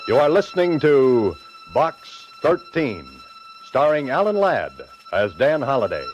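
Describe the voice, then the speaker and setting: A man announcing a 1940s radio show in a dramatic, measured manner. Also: a little high, wildly swooping pitch. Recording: dull vintage radio recording with loud music.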